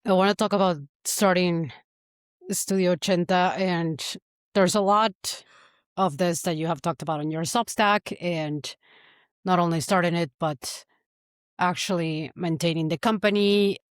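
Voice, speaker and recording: Woman podcast host asking questions, lowish voice, dry close mic. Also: fairly even intonation, a little slow, no room sound. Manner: thoughtful, with short affirmations. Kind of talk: interview questions.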